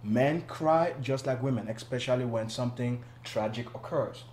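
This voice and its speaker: A man from Cameroon talks as a guest on a studio panel. His voice sits at a middle pitch, and he explains his ideas in a measured way, restarting phrases now and then. The room around him is noticeably echoey. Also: darkish tone, a little hiss or hum. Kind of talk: casual panel discussion.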